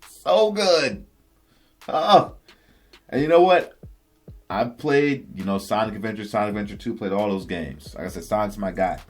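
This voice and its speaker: A man's voice: lowish voice, animated, normal speed, pauses often. A man hosting a vlog, giving casual, enthusiastic opinion commentary to viewers in slangy fan talk. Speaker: host of a video game and movie news channel. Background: background music.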